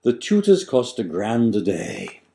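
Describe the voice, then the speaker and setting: A man in his sixties, an author reciting his own satirical rhyming verse with dramatic, deliberate emphasis and sudden swells and drops. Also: lowish voice, huge pitch swings, gravelly, mellow. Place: small living room, on a sofa, indoors.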